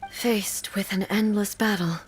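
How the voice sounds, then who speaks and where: A solemn immortal female sovereign from a video game, acted by a woman. Her delivery is formal, measured and dutiful, at a middle pitch. Soft music plays behind her. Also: fairly even intonation, natural voice. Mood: grave. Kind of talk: scripted video game dialogue.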